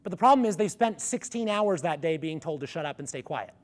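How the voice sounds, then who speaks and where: A man presenting a music education workshop to a live audience, with a ringy, resonant voice and an energetic coaching manner. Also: a little high, brisk. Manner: energetic, coaching the audience through vocal exercises. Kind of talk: conference workshop talk. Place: conference room with a live audience.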